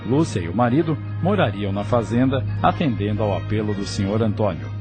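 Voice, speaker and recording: A man's voice: lowish voice, lively intonation, a little fast, over music. A Brazilian man narrating an audio novel in a scripted storytelling style.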